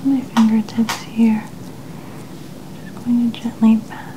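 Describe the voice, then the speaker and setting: A woman gently whispering in a breathy voice, reassuring as she narrates an ASMR personal-care role-play. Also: on the high side, completely flat delivery, measured pace, background noise. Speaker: ASMR makeup artist.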